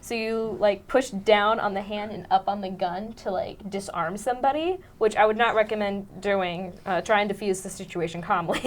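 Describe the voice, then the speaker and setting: A woman's voice: mid-range voice, moderate pace, hardly any pauses. A teenage female student actor chatting casually in an interview, informal and a bit giggly. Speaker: student actress being interviewed. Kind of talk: casual chat.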